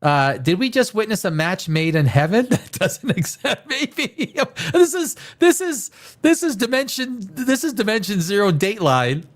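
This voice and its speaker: A man's voice: high voice, sing-song, measured pace. Stuffed-up, chatty livestream host man. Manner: rambling, chatty, restarts and repeats himself. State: stuffed up from a cold. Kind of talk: casual chat reading viewer comments.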